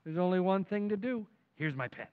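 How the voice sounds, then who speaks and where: An actor playing a sly working-class neighbour, wheedling and slangy, in an old-time radio comedy. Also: male voice, high voice, lively intonation, a little fast, clear, resonant tone, narrow, tinny audio. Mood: urging.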